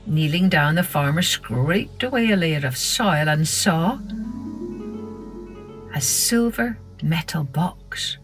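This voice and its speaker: A woman narrating a scripted children's story in a measured, expressive storytelling manner. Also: sits low, over music. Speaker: storytelling podcast host.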